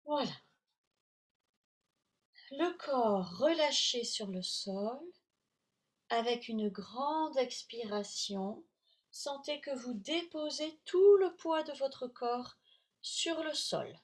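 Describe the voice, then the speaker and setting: A woman teaching yoga nidra, guiding relaxation in short, soothing, measured phrases with long pauses. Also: high voice, animated. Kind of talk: guided relaxation instruction.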